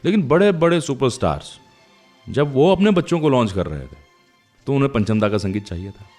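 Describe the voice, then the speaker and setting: A man's voice: mid-range voice, sing-song, fairly quick, a little mellow. A man with an Indian accent hosting a radio show, talking to listeners in a conversational, reflective storytelling way about music.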